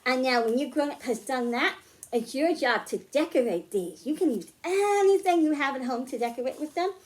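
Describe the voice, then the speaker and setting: A woman's voice: sits high, animated, moderate pace. A woman hosting a children's storytime, speaking in simple, encouraging terms to young kids, with sing-song emphasis when reading and explaining. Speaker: children's librarian and storytime host. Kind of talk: children's storytime and craft demonstration.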